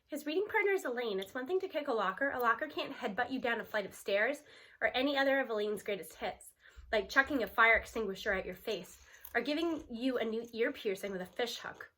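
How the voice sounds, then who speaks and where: Bright, high-voiced American woman reading aloud. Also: animated, brisk. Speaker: storybook reader. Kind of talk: expressive read-aloud of a children's novel.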